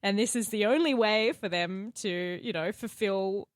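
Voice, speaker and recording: Woman, Australian accent, mid-pitched voice, informal podcast co-host, loose conversational delivery, dry close sound. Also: unhurried, no echo. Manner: informal, sweary. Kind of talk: casual podcast chat.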